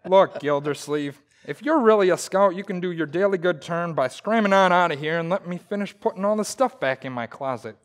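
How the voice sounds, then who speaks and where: Man acting a high-pitched, blustering folksy radio husband. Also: animated, brisk, few pauses. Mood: scornful challenge. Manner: exclamatory, folksy, grumbling. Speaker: actor in community theater radio play. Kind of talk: old-time radio comedy acting.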